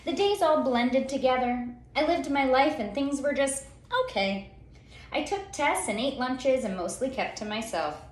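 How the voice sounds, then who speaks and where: A high-voiced woman reads a picture book aloud in a roomy, echoey space. Her delivery is theatrical and very lively in pitch, with dramatic pauses and stretched-out words for young listeners. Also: slight background noise. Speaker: children's read-aloud storyteller. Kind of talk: expressive picture-book storytelling.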